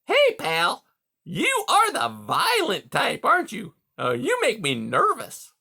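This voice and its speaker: A smarmy, jovial male beaver, talks in a high, loud, swooping voice. He rattles off folksy sayings with an oily salesman's cheer, a gravelly edge under it. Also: wildly swooping pitch, slow pace, exaggerated voice. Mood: smarmy hearty. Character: hearty, smarmy, big grinning male beaver.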